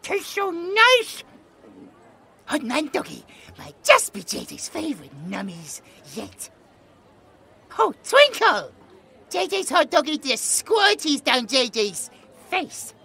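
A man's voice: very high voice, wildly swooping pitch, slow pace, vocal fry, pushed and bright, heavily acted. A British man voicing a childlike, innocent yet eerie possessed young man who speaks of himself in the third person with baby talk, in an audio roleplay. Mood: delighted. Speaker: voice actor.

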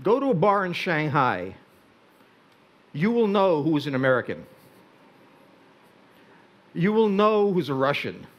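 An older Hungarian-born man giving a wry, deliberate talk on a stage in a large tent before an audience. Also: on the high side, big pitch swings. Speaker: speaker.

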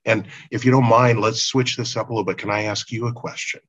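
An American man in his forties chatting casually on a video call, halting and repeating his words as he goes. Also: mid-range voice, flat delivery, a bit bright, slight background noise. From United States. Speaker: interview guest, volunteer. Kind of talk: casual conversational interview. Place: indoors on a video call, virtual background. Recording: dull, husky-sounding video call audio.

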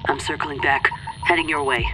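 A man's voice: fairly high pitch, fairly even intonation, moderate pace, natural voice, low-quality recording. A man playing a terse wolf-man companion. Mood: protective. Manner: terse, protective. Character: gruff wolf-man companion with fangs and claws. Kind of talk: audio drama acting. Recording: loud music behind.

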